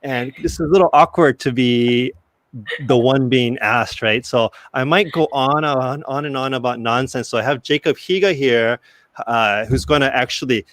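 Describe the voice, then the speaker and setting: A young Okinawa-born man, Japanese his first language, co-hosts a live talk broadcast from a studio. He rambles and pokes fun at himself, restarting sentences and filling gaps with "uh" and "you know." His voice sits at an ordinary middle pitch, and the sound is close and dry. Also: moderate pace, fairly bright tone, no room sound. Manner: rambling, self-deprecating, many fillers and restarts. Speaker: co-host. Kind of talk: casual live talk show chat.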